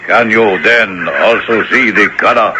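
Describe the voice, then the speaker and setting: An actor playing a proud, solemn Zulu warrior in a 1970s radio adventure, declaiming grandly in archaic speech. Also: male voice, fairly low pitch, a little slow, natural voice. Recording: noisy 1970s radio broadcast recording.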